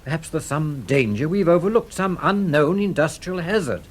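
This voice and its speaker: An actor playing an earnest, worried insurance man with a British accent and a husky voice in a radio drama. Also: fairly high pitch, expressive, normal speed. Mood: earnest, concerned.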